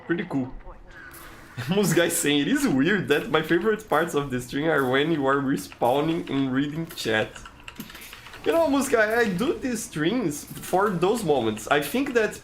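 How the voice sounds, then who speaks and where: A man's voice: on the high side, lively intonation, unhurried, a little hiss or hum. A man from Brazil with a Brazilian Portuguese accent, a game streamer chatting casually and reading chat aloud, friendly, with stammered restarts. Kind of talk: casual live-stream chat while playing.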